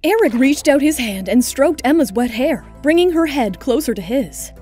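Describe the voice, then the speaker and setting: Female narrator, very high pitch, soft mellow tone, scripted drama storytelling, faint music underneath. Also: lively intonation, normal speed.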